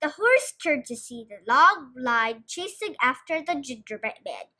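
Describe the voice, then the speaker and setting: A woman reading a children's story aloud with expressive scripted narration. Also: high-pitched, measured pace.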